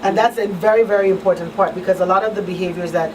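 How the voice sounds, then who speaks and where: A woman's voice: on the low side, steady tone, quick pace. Lady on a talk panel, earnest and emphatic, kinda far from mic with some room noise. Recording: distant mic, roomy background noise.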